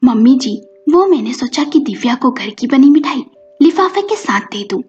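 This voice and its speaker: A woman's voice: sits high, animated, moderate pace, darkish tone. A woman voicing a meek, dutiful young daughter-in-law in a family story, deferential and pleading. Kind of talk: dramatized Hindi family storytelling. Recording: dull lo-fi audio with music behind.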